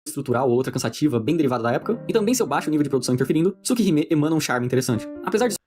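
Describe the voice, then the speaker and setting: A man's voice: fairly high pitch, lively intonation, racing, music in the background. A man with a Brazilian accent narrating a scripted video review with informal, sweary, persuasive asides. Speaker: video essayist.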